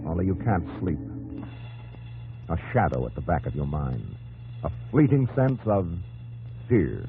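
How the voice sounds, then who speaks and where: Muffled old radio w/ faint music, deep solemn american guy narrating, dramatic 50s style. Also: sing-song, a little slow, vocal fry, heavily acted. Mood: probing. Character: solemn, probing male narrator addressing the hero directly. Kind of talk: dramatic second-person narration, 1950s radio.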